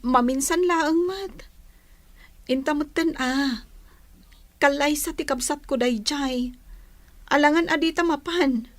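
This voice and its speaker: Woman acting a high-voiced, plaintive Ilocano wife. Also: slow pace, long pauses, no room sound. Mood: pleading. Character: homesick, long-suffering young village wife. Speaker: radio drama actor. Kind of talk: Ilocano radio comedy drama acting.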